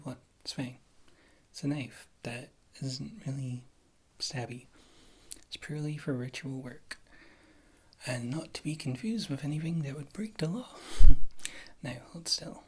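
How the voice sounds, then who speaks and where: A woman playing a wry witch healer in an ASMR fantasy roleplay, speaking softly and often slipping into a whisper, in a rambling, casual, reassuring way. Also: rumbling low, husky, exaggerated voice. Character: wry, thrifty witch healer, a former adventurer.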